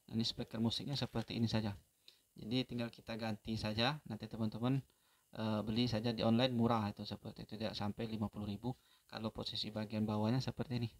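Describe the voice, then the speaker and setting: A man giving an unhurried, step-by-step phone repair tutorial, pausing often with fillers. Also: on the low side, flat delivery.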